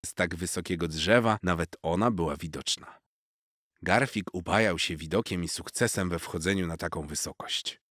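A man's voice: low voice, normal speed, fairly bright tone, dry recording. A man narrating a children's story expressively from a script, close on the mic.

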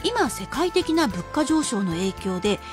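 A woman with a high, very lively voice delivers a radio shopping pitch. She is emphatic and spells out key figures carefully. Music plays clearly behind her. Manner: emphatic, slowing to spell out prices and numbers. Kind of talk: live radio sales pitch. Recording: music bed under the voice.

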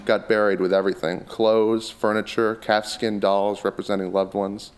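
A man's voice: on the low side, flat delivery, moderate pace, clean tone, lightly acted. A man doing a crude, pushy cowboy-hatted businessman. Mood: blunt. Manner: crude, blunt, swearing. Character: brash pushy middle-aged businessman in a cowboy hat.

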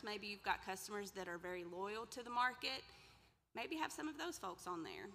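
Woman, 30s, extension presenter; chatty lecture, crisp bright tone, reverberant hall. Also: medium pitch. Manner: conversational, asks the audience questions. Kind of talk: workshop lecture with slides. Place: large lecture room indoors. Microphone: podium mic, far camera.

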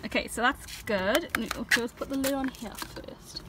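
A woman's voice: fairly high pitch, normal speed. A girl filming a car wash outdoors, reading instructions and guiding a younger child. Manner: instructing and encouraging. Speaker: vlogger filming. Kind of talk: casual chat. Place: outdoors on a driveway. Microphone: handheld phone camera. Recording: noisy outdoor phone recording.

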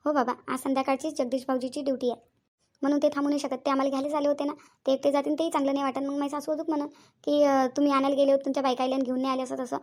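A woman's voice: high-pitched, little pitch movement, racing, a little mellow, close to an everyday voice. A woman playing a tender, earnest young married village woman in a family drama, with a Varhadi Marathi accent and an emotive, pleading way of repeating phrases. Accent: Varhadi Marathi from Vidarbha. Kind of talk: amateur family drama acting.

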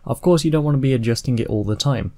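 A man in his twenties narrating a software tutorial in an informal, step-by-step way. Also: mid-range voice, quick pace. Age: 20s. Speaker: tutorial host.

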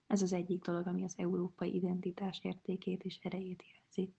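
A woman reading a prepared statement in a quick, flat delivery. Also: on the low side, gravelly, breathy edge. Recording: dull lo-fi sound.

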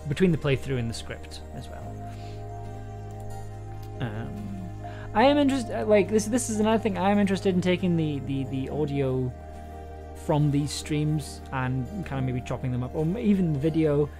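Young man rambling over background music. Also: mid-range voice. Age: young adult. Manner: hesitant, rambling, thinking aloud. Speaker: audio drama producer and streamer. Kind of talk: casual livestream chat. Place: small indoor room at home. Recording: music playing underneath.

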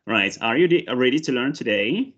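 A man's voice: mid-range voice, animated, moderate pace, lo-fi sound. A man from Morocco with a Moroccan accent, native in Arabic and Berber, an English teacher in a mock interview and demo lesson on a video call, hesitant with frequent fillers and restarts. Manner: hesitant, many fillers and restarts, rises brightly when teaching. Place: indoor room with large windows. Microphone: video call, headset.

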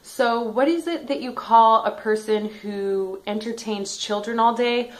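A woman's voice: a little high, unhurried, poor audio. Mocking woman YouTuber. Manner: mocking, condescending. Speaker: YouTuber in a clip. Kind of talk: scornful video commentary.

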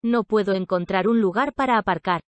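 A woman with a mid-range voice reads practice sentences clearly and at a measured pace. The recording is close and dry. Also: medium pitch, no echo. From Spain. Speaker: language lesson speaker. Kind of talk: reading practice sentences aloud.

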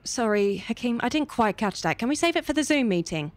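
A woman's voice: on the high side, big pitch swings, brisk, no echo. A woman playing a polite, put-upon young woman in an audio drama, a little hesitant. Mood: distracted, polite. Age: young adult. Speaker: actor in a fiction podcast. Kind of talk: naturalistic audio drama dialogue.

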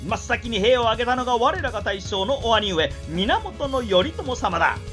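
Brash, animated samurai scout, high-voiced. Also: female voice, moderate pace, soft background music. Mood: excited, boastful. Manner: theatrical storytelling patter in rough, old-time speech. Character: brash, talkative samurai scout telling war news with relish. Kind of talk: radio drama acting.

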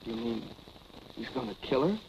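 Gravelly, lovesick young prizefighter. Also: male voice, medium pitch, animated, moderate pace. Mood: alarmed. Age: young adult. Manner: plain, slangy tough-guy talk. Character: lovesick, slow-witted young male prizefighter. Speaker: film actor. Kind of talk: 1940s film acting. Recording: noisy vintage film soundtrack.

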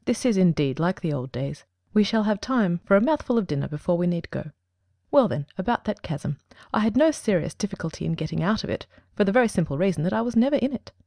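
A woman playing a composed, precise male detective in a dramatic audiobook, speaking in a measured, formal and articulate way. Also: medium pitch, lively intonation, vocal fry, dark tone, dry recording. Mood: composed, courteous.

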